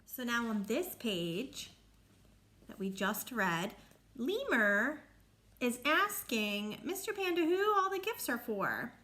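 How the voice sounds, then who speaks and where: A woman's voice: a little high, big pitch swings, takes it slowly, pushed and bright. A woman reading a picture book aloud to children like a teacher, explaining and asking the listeners questions. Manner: instructive, asks listeners questions.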